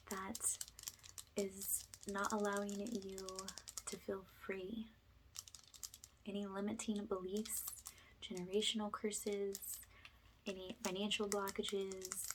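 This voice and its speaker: A woman speaking in hushed whispers and soft speech as an ASMR energy healer, pausing often through repetitive, incantatory phrases. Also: medium pitch, noisy background. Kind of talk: spiritual ASMR, soft guided clearing.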